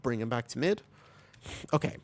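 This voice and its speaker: A man streaming a video game live, chatting with viewers and explaining tactics while he plays, his voice still raspy from being sick. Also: medium pitch, expressive, normal speed, a little mellow. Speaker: streamer playing a tank. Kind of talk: live gameplay commentary.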